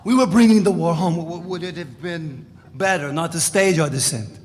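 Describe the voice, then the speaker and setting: A man's voice: fairly high pitch, moderate pace, natural voice. Man playing a defiant radical in echoey hall. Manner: blunt, emphatic, self-justifying. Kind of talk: dramatic literary reading before listeners. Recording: echoey hall, faint room noise.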